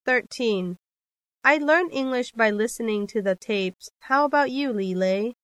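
Woman reading exam dialogues, higher voice. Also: animated, a little slow, a bit bright, no room sound, studio quality. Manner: clear, careful textbook delivery. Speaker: dialogue reader on a listening test.

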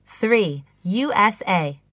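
A woman's voice: mid-range voice, lively intonation, extremely slow, a bit bright, no echo, studio quality. A woman reading scripted English listening exercises, enunciating carefully like a language-lesson recording. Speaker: English listening test reader.

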